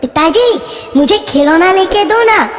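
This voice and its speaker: A woman's voice: very high-pitched, lively intonation, unhurried. A woman voicing a childlike, pleading little boy in a children's cartoon. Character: plaintive little boy, son of a poor family. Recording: echoey, long reverb, music behind.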